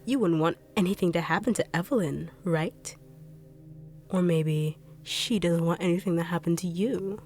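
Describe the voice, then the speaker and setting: A woman voicing a greedy bodyguard turned villain who taunts with cold, quiet menace. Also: fairly low pitch, moderate pace, faint music behind, lightly acted. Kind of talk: audio roleplay acting.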